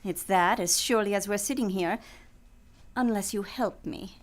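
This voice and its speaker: An actress playing a manipulative femme fatale in trouble in an old-time radio melodrama, with a theatrical, pleading delivery. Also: female voice, mid-range voice, normal speed, natural voice. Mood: anxious, evasive.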